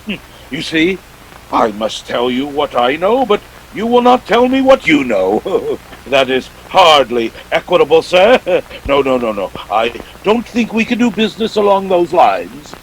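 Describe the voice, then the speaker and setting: A man's voice: fairly high pitch, big pitch swings, a little slow, a little hiss or hum, poor audio. A man playing a genteel, verbose crime boss in a 1940s-style radio drama, pompous and courtly with a sly joviality. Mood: amused, sly. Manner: pompous, courtly, chuckling formality. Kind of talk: 1940s radio villain acting.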